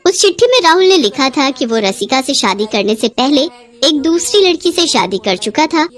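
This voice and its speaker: A woman narrates a scripted drama as a storyteller. Her voice sits high, and her delivery swings widely in pitch from phrase to phrase. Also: very animated, moderate pace.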